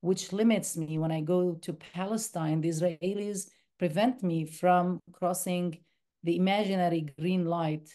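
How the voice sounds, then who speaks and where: A woman's voice: on the low side, fairly even intonation, measured pace, mellow. A woman from the West Bank, a documentary filmmaker answering interview questions thoughtfully, often restarting her sentences. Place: university screening room.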